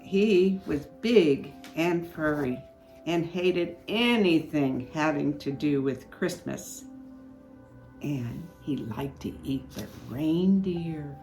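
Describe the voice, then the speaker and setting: Older lady doing story time for kids, lowish voice, swoops all over, takes it slow, music behind. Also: expressive, a little mellow. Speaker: storyteller reading aloud for children. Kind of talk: children's storybook read-aloud.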